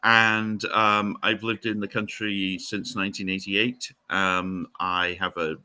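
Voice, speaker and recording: A middle-aged Welsh man with a Welsh accent, a historian guest speaking haltingly in a casual interview, with many fillers and restarts. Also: low voice, level tone, pushed and bright, smooth. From Wales.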